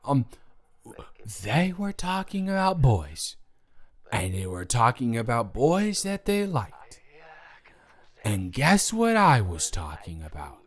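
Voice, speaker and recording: Audio drama role, male actor: tipsy young male roommate, lovestruck and sheepish, rambling slowly with big swoops in pitch. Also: wildly swooping pitch, heavily acted. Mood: drunk, teasing. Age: young adult. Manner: rambling, stumbling, slangy, exaggerated. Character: drunk, rambling young college roommate, sheepishly confessing love. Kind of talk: improvised roleplay audio drama, one-sided conversation.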